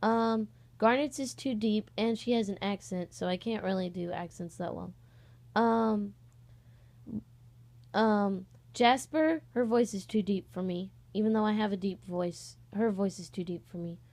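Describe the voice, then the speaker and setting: A young woman talks casually to her online followers, rambling a little and often pausing to correct herself. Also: medium pitch, a little mellow. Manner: rambling, hesitant, self-correcting. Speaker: online voice-acting channel host. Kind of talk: casual vlog, audition call.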